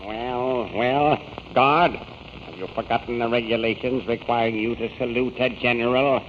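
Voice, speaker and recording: An actor playing a proud, scheming army general in a 1930s radio serial, blustering and imperious. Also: male voice, medium pitch, fairly even intonation, moderate pace, natural voice. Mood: indignant. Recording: noisy, dull old radio recording.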